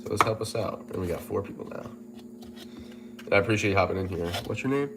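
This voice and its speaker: Man, very low voice, nasal and stuffy from a cold, relaxed chatty livestream, noisy background. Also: fast. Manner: relaxed, chatty, repeats himself, reads out viewer comments. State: congested from a head cold. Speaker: personal trainer and gym owner. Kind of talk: casual livestream chat.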